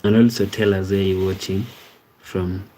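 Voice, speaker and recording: A Kenyan-accented man hosts a relaxed live stream, greeting viewers and reading their comments back to them. His voice sits low and mellow, with a level, unhurried intonation that rarely rises or falls. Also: a little hiss or hum. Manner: reads viewer comments aloud and thanks them by name; easygoing, repeats words like "amazing, amazing". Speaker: livestream host. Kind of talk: casual live-stream chat.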